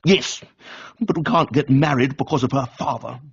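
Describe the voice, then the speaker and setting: A man voicing a blunt, intense young political agitator with a low, guttural voice in a dramatised reading. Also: moderate pace, vocal fry, husky, lo-fi sound. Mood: bitter. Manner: blunt, clipped, emotional. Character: fiery gruff intense young left-wing male agitator.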